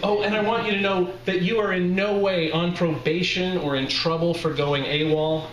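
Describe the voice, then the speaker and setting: An effusive, preachy church leader, acted out by a man reading his story, gushes in drawn-out phrases. The voice is pushed up high and sounds in a roomy space. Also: fairly even intonation, a crowd in the background, natural voice. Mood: gushing, sanctimonious. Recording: roomy live bar recording, faint background noise.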